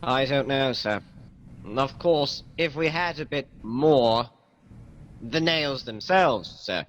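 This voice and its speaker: A medium-pitched male police sergeant, dutiful and brisk. He speaks respectfully and plainly in a radio crime play, with faint traffic noise behind. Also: fairly bright tone. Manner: respectful, matter-of-fact. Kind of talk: period radio crime drama acting.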